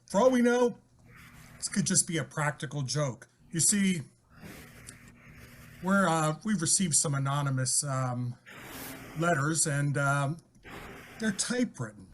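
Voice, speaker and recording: A man playing a halting, officious police inspector in a radio play, often correcting himself. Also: fairly high pitch, slight background noise. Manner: hesitant, self-correcting. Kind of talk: old-time radio play acting.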